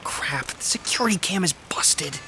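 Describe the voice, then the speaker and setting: A man's voice: fairly high pitch, lively intonation, normal speed, bright, forceful tone, whispered. An actor playing a breezy but guarded young man with a casual, wry manner in an audio drama. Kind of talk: naturalistic audio drama acting.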